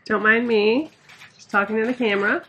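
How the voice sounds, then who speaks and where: A woman's voice: on the high side, fairly even intonation, a little fast, pushed and bright, a little hiss or hum. A woman in her thirties talking casually to a handheld phone camera about a book, frank and chatty with the odd swear word. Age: 30s. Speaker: book vlogger. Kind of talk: casual reading vlog chat. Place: hotel room and car, indoors. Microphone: handheld phone camera at arm's length.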